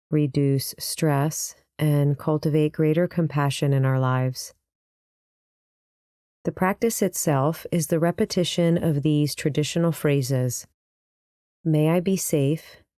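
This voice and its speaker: A woman leading a guided meditation as a teacher, speaking in a gentle, soothing, unhurried manner. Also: low voice, dry recording, studio quality.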